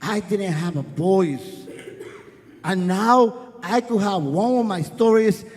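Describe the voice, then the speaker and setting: A man's voice: high voice, darkish tone. A middle-aged man from Guatemala, a native Spanish speaker with a Spanish accent, telling a personal story on a festival stage in an earnest, wry way. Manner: earnest, wry, speeds up and slows down for effect. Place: festival stage before an audience. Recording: live hall with long reverb.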